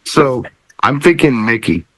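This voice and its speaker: A man's voice: medium pitch, very animated, measured pace, fairly bright tone, dry recording. A man hosting a talk show in casual chat, with joking, offhand asides.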